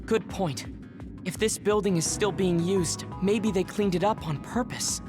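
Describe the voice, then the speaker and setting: A woman playing a composed, knowledgeable young male officer in an anime-style game, explaining things in a measured, formal way. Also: mid-range voice, close to an everyday voice. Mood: careful. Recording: music under the dialogue.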